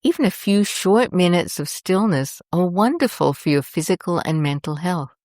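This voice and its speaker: A woman host narrating a scripted guided meditation in a soothing, deliberate manner, leaving long pauses between short phrases. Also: fairly low pitch, animated, darkish tone, dry recording, studio quality.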